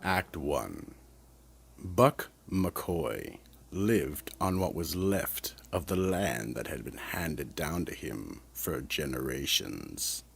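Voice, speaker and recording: A man narrating a radio mystery drama in a deliberate, ominous storytelling manner. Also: extremely low pitch, big pitch swings, vocal fry, a bit bright, breathy edge.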